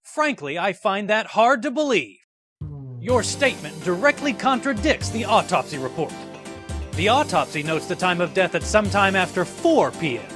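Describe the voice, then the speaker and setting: A man's voice: high-pitched, very animated, moderate pace. A man playing an earnest, nervous young rookie defense lawyer in a courtroom game fan dub. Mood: bold, challenging. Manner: hesitant stammer, bursts into bold objections. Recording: music under the voice.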